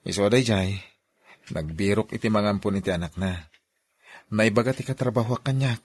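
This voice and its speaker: Guy acting bossy sneaky husband in a radio drama, deep husky voice, ilocano filipino accent. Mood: evasive, casual. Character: secretive husband Alex, commanding, scheming over his hidden child.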